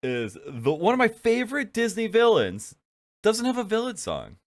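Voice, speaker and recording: A man's voice: high voice, wildly swooping pitch, moderate pace. A young man with a stuffed-up nose hosting a casual chat, rambling with lots of fillers and giggles. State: stuffed up from allergies. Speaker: podcast host.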